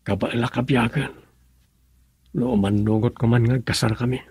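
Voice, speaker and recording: An actor with an Ilocano accent playing a lovesick, anxious young man in a radio drama, his voice husky. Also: medium pitch, steady tone, moderate pace, darkish tone, no echo. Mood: wistful.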